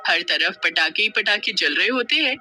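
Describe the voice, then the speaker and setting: A storyteller narrating a scripted children's tale in an expressive, dramatic manner. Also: mid-range voice, normal speed, soft background music.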